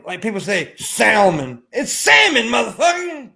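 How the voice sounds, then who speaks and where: A man with a raspy voice and an American accent, bantering casually and profanely as a livestream host. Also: sits high, wildly swooping pitch, measured pace, sharp tone.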